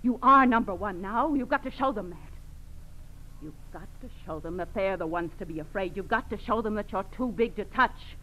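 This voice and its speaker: An actress playing a domineering, scheming American criminal wife in 1940s radio crime drama, bossy, coaxing and belittling. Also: female voice, medium pitch, lively intonation, moderate pace, bright, forceful tone. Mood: forceful goading. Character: domineering, scheming criminal wife goading her frightened husband. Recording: dull old radio recording with hiss.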